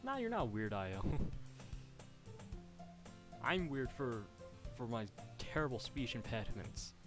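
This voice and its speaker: A man's voice: mid-range voice, very animated, slow. A male streamer with a faint Irish-sounding lilt reads a visual novel aloud live. He giggles often and rambles, restarting his sentences mid-thought. Game music plays under his voice. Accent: slight Irish-sounding lilt. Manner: giggly, rambling, self-interrupting.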